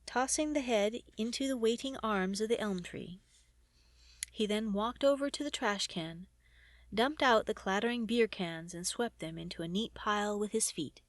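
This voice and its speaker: A woman narrating a story as an audiobook reader, in an expressive, animated storytelling manner. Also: mid-range voice, normal speed, no echo.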